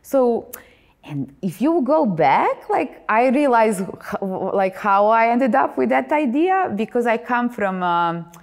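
A woman's voice: on the high side, very animated, takes it slowly. A woman in her thirties with a Serbian accent, a visual artist talking animatedly in an interview, with many fillers and restarts. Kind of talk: interview monologue. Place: boxing gym, indoors.

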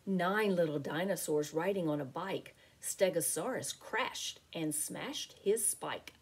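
A middle-aged woman reading a picture book aloud to children as a teacher, in an expressive, deliberate, sing-song way. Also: on the low side, a little hiss or hum. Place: small home room with window blinds.

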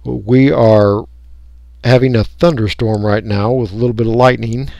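A man talking through a software tutorial step by step in an unscripted, folksy way. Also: medium pitch, fairly even intonation, moderate pace, creaky, no echo, studio quality. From United States. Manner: unscripted, folksy, explaining each click. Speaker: radio hobbyist giving a tutorial. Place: indoors, voice-over for a screen recording.

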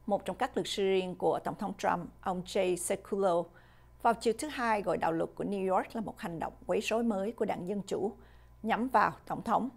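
Woman reading news, gravelly edge. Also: medium pitch, brisk. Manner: steady, formal delivery. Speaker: news anchor. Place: studio with a virtual backdrop.

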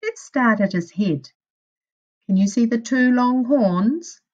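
A woman reads a picture book to young children at an unhurried pace. Her pitch moves up and down in a lively way as she speaks deliberately, like a primary school teacher. The recording is a little dull and homemade. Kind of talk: children's storybook read-aloud.

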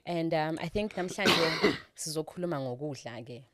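A South African woman from the Eastern Cape, a guest telling her story in casual conversation, laughing often and pausing with fillers. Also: low voice, lively intonation, noisy background.

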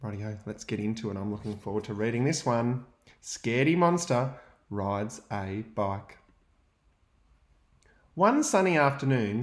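A young adult male teacher, reading a picture book aloud to young students and pausing to ask them questions. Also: medium pitch, echoey room. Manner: gentle, expressive storytelling with pauses to ask questions.

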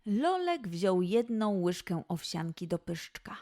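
A woman narrating a scripted children's story with an expressive, dramatised delivery. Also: mid-range voice, slow pace.

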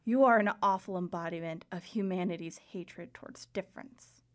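An actress playing a defiant, passionate mortal heroine of ancient Greece in an audio drama, earnest and formal. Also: female voice, lowish voice, normal speed, close to an everyday voice.

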